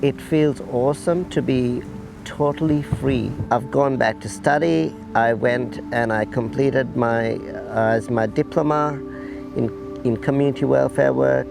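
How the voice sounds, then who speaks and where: A middle-aged man from Sri Lanka speaks in a measured, deliberate way as he shares his own story. His voice has a mid pitch and a gravelly, creaky texture. Soft music plays faintly behind him. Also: steady tone. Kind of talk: documentary interview testimony.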